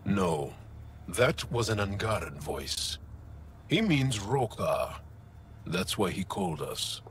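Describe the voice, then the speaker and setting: Deep-voiced, grave alien warrior. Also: male voice, very animated, takes it slowly, slight background noise, full character voice. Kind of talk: video game voice acting.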